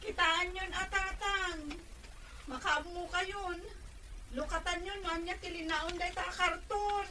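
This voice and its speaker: A woman acts out a shy, wounded young woman with a strikingly high-pitched voice. She speaks in a timid, plaintive way, as a vulnerable sweetheart in an audio drama. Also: fairly even intonation, measured pace, pushed and bright, a little hiss or hum, exaggerated voice, rough recording. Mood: withdrawn. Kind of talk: Ilocano audio drama acting.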